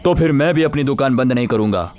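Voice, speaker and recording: A man's voice: medium pitch, lively intonation, fast, a little mellow, clean tone, soft background music, natural voice. A man as an earnest cartoon village shopkeeper. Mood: indignant. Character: aggrieved small-town food seller. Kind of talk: animated story acting.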